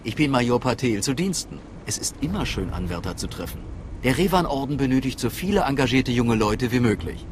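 A man's voice: medium pitch, sing-song, brisk, noisy background, close to an everyday voice. A man playing an affable, shrewd major in a video game, with an informal, conversational manner. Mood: affable, conspiratorial. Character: affable, shrewd military major in secret order. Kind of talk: video game cutscene acting.

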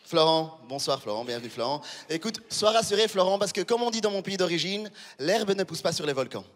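A man's voice: sits high, animated, fairly quick, big-room sound. A man in his thirties, born in Rwanda, doing a stand-up routine with a handheld mic on a big theatre stage, punchy and chatty, teasing the crowd. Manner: punchy, conversational, teasing the audience. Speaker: stand-up comedian. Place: large theatre stage before a live audience. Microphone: handheld microphone.

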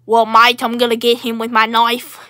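A woman's voice: a little high, monotone, normal speed, pushed and bright. A woman voicing Australia as a cheerful, knife-happy Aussie bloke in an improvised comedy skit, brash and matey with an Australian accent. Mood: menacing, cheerful. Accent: Australian, put on. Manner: brash, matey, repetitive slangy phrasing.

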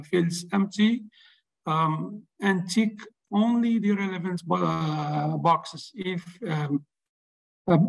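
A man hosting an online webinar, explaining steps with many pauses and fillers, on a video call mic. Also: fairly high pitch, creaky, rough recording. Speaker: webinar host. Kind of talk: webinar presentation. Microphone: webcam on a video call.

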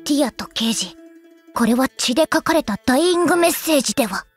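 A woman voicing a calm young girl inspector who reports in a formal, deadpan way in an anime audio drama. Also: high-pitched, fast, faint music behind. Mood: matter-of-fact.

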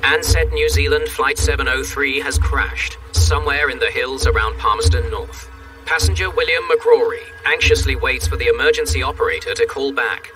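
A man narrating a documentary in a measured, dramatic scripted delivery. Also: medium pitch, steady tone, pushed and bright. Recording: music bed, distant sound.

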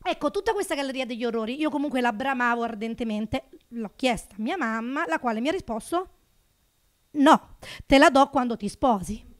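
A woman in her thirties from Naples performing a stand-up routine into a handheld microphone on a small club stage, with a sarcastic, animated delivery that jumps up for punchlines. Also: high voice, fairly quick. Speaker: stand-up comedian.

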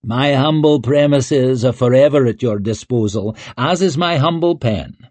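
A man voicing a vain, flattering male writer who speaks in flowery, grandiose phrases. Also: medium pitch, a little slow, no echo, voice pushed up, natural voice. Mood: pompous.

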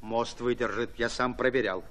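An actor playing a brash, hot-tempered Red Army commander in a radio play, folksy, blunt and commanding. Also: male voice, mid-range voice, steady tone, moderate pace, a bit bright, natural voice. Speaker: actor in an audio drama. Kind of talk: Soviet-style radio play acting.

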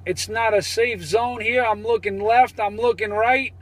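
High-pitched boastful man on CB. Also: fairly even intonation, unhurried. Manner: boastful, repetitive catchphrases. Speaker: CB radio operator. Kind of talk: CB radio banter.

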